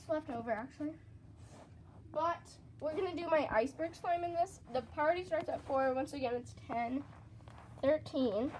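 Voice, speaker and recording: A teenage girl vlogging casually with a stuffy, congested voice from a cold, chatty and excitable. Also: sits high, moderate pace, noisy background. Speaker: slime vlogger. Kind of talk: casual vlog chat.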